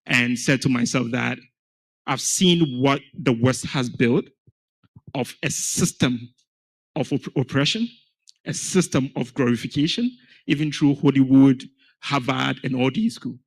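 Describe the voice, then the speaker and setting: A man's voice: medium pitch, measured pace, vocal fry, poor audio. Earnest Ghanaian-born man on a panel. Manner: earnest, emphatic storytelling. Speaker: panel guest, founder of an education organization. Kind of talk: panel conversation.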